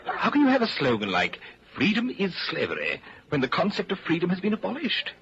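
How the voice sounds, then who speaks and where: A man's voice: sits high, wildly swooping pitch, brisk, fairly bright tone, full character voice. A man acting in a 1940s radio play as a smug, coldly zealous intellectual who relishes his words pedantically. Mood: smug fascination. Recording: dull, very hissy 1940s radio recording.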